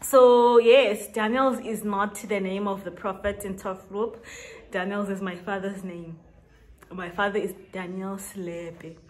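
Slow-talking woman in her twenties. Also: mid-range voice, fairly even intonation. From South Africa. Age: 20s. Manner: chatty, laughs often, drawn-out emphasis. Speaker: YouTuber. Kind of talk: casual get-to-know-me vlog. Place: small indoor room with shelves.

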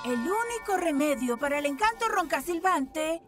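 Very high, eager little monkey. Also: female voice, lively intonation, moderate pace. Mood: excited. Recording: loud music behind the voice.

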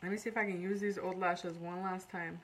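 A woman's voice: fairly low pitch, little pitch movement, a little fast, a little hiss or hum, low-quality recording. A woman in her thirties from Iran, chatting casually on a live makeup tutorial and reading out viewer comments while she works. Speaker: makeup artist. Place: small indoor room before a curtain.

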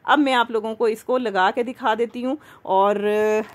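Higher-voiced Pakistani woman giving a tutorial. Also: steady tone, measured pace. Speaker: makeup tutorial host. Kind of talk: instructional demo voice-over, conversational.

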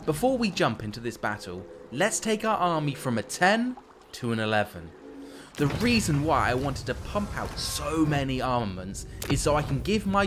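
A man presenting a strategy video game in an expressive, scripted storytelling narration. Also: fairly high pitch, noisy background. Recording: game music behind the voice. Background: video game music.

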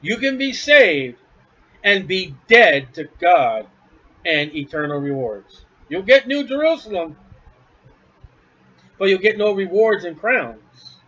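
A man's voice: high-pitched, sing-song, slow pace, pauses often, sharp tone, highs cut off. A man preaching a sermon from home, blunt, scornful and emphatic.

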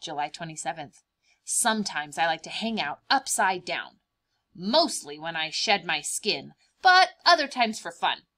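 A woman voicing a cheerful, boastful young praying mantis in a picture-book read-aloud, expressive and emphatic, stretching out words. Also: lowish voice, unhurried, voice pushed down, exaggerated voice. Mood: cheerful, dramatic.

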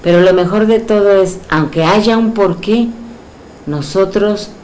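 A middle-aged woman with a Mexican accent, a hypnotherapist who guides a session with soft, slow suggestions and then chats warmly with her client in a small indoor room. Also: mid-range voice, slight background noise, poor audio. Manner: soft, slow counting and suggestions, then lively coaxing questions.

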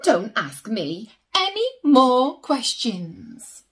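A woman's voice: a little high, big pitch swings, extremely slow, a bit bright, slightly rough, full character voice. A woman voicing a shifty, defensive rabbit who protests jumpily, in a children's picture book. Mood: defensive, flustered.

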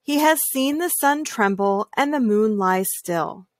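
Calm woman reading a picture book, dry sound. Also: fairly high pitch, a little slow, dry recording. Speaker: read-aloud storyteller. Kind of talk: calm children's picture book read-aloud.

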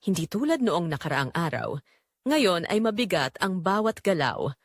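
A woman's voice: fairly low pitch, very animated, normal speed, no echo, clean studio sound. A woman with a Filipino accent reading a first-person romance drama as its narrator, in a scripted dramatic storytelling style.

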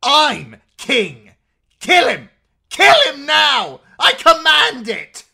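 A man's voice: very high voice, big pitch swings, extremely slow, creaky, bright, forceful tone, voice pushed up, exaggerated voice. A man voicing a spoiled young king, petulant and commanding, screeching in an audiobook fantasy story. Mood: enraged.

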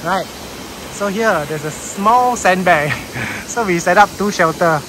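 A man with a Malaysian English accent narrating a relaxed camping vlog outdoors on a sandbank, on a handheld camera mic. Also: sits high, measured pace. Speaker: camping vlogger. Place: outdoors on a sandbank beside a loud waterfall. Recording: loud waterfall noise behind the voice.

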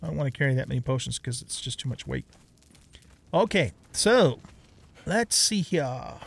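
A man's voice: mid-range voice, lively intonation, normal speed, vocal fry. A middle-aged man giving casual let's play commentary on a video game, thinking aloud and muttering to himself.

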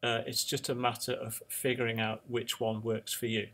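Guy with a slightly raspy voice walking you through calculator steps, easygoing. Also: medium pitch, moderate pace. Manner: relaxed, talks through each calculator step. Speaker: audio tutor. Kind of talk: screen-recorded calculation tutorial.

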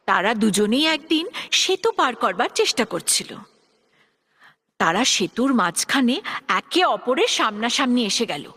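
A woman narrating children's fables as a scripted storyteller, expressive and deliberate. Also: on the high side.

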